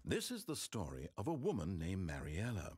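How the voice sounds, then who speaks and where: A man narrating a video game in a measured, wry, theatrical storytelling manner over game music. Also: low-pitched, wildly swooping pitch, a little mellow.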